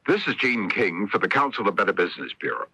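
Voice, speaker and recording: A man's voice: fairly low pitch, sing-song, quick pace, bright, forceful tone, slightly rough, dry recording, muffled recording. A man delivering a scripted, explanatory public service announcement on 1970s radio. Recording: dry 1970s radio broadcast.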